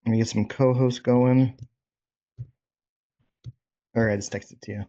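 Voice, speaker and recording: A man's voice: mid-range voice, fairly even intonation, moderate pace, dark tone. A man hosting a casual group coaching session over a video call, informal and encouraging as he checks in with participants. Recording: dull, lo-fi video call audio.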